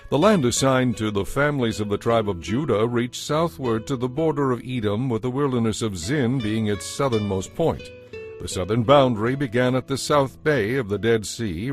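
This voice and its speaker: A man reading scripted scripture narration as narrator, in a measured, formal manner. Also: mid-range voice. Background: background music.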